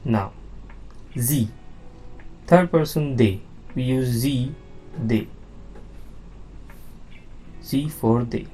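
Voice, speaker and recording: Slow, patient man teaching beginners. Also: mid-range voice, dark tone, soft background music. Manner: patient, repeats words, frequent "now" and "okay?". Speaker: language teacher. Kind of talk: slow beginner lesson explaining on-screen slides.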